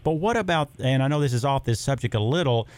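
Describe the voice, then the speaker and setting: A man's voice: medium pitch, expressive, fast, darkish tone. A man hosting a health talk, asking a rambling question while he searches for words.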